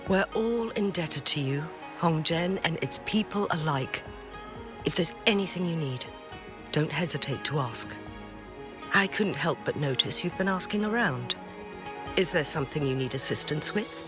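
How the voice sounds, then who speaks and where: Courteous, composed town official. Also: female voice, sits low, animated, music in the background. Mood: polite, grateful. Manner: polite, formal, measured. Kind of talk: video game character dialogue.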